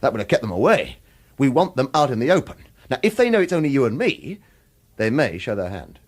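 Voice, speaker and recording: A world-weary hitman in a radio thriller. His husky voice moves up and down freely, wry and persuasive, like a schemer in a tight corner. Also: medium pitch, big pitch swings, fairly quick. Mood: scheming, persuasive. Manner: wry, informal, urgent persuasion. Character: world-weary, wily hired killer in a tight spot.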